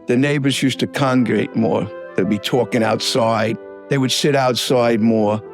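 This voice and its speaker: A man talks plainly about his neighborhood, looking back. His pitch sits in an ordinary middle range, and faint music plays softly underneath. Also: steady tone, moderate pace. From Staten Island, New York. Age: 70s. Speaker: local resident interviewee. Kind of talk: documentary interview.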